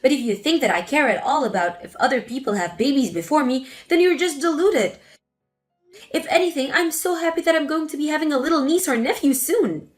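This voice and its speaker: A woman's voice: high voice, lively intonation, brisk, faint music behind. A woman voicing an angry grown daughter who confronts her mother sharply. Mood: indignant. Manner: sharp, indignant.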